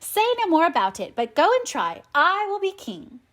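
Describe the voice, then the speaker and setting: A woman's voice: very high voice, big pitch swings, moderate pace, a bit bright, pitched above the performer's own voice, exaggerated voice. A woman voicing a greedy, imperious fisherman's wife who insists on having her way in a storybook. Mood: insistent.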